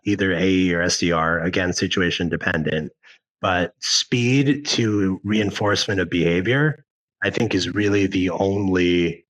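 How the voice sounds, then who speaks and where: A young man from Thailand, a guest on a sales podcast, explaining his ideas in a measured, analytical way. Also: low voice, a bit bright, poor audio. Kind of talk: podcast interview.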